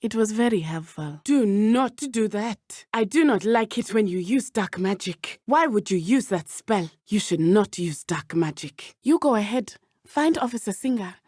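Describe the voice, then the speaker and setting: A woman's voice: mid-range voice, expressive, measured pace, darkish tone, husky, no echo, studio quality. A woman playing an earnest, brave teenage schoolgirl witch in a video game, speaking in formal, precise phrases. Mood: disapproving. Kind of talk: video game character dialogue.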